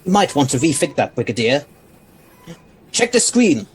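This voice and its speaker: Guy doing eccentric brit scientist in audio drama, highish voice, erudite and unflappable, bit of background noise. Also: very animated, normal speed. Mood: calm, intrigued. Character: eccentric, intrigued, unflappable male alien scientist.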